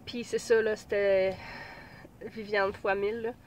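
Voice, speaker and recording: A woman's voice: medium pitch, flat delivery, measured pace, slight background noise, low-quality recording. Woman in her thirties, Québécois accent, stuffy from a cold, casual rambling chat, talking inside a car. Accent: Québécois French. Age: 30s. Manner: chatty, rambling, many fillers and restarts. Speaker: pregnancy vlogger.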